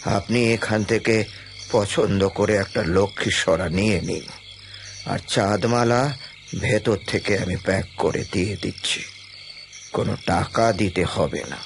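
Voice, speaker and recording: An actor playing a grave, proud, superstitious old shopkeeper in an audio drama, with a gravelly voice and a deliberate, ominous manner. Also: male voice, lowish voice, slight background noise. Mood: conciliatory. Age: older. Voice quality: gravelly, creaky.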